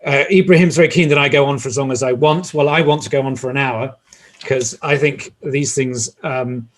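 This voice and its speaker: A man's voice: mid-range voice, steady tone, brisk. Rambling male moderator on a video call. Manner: rambling, hesitant, with many restarts.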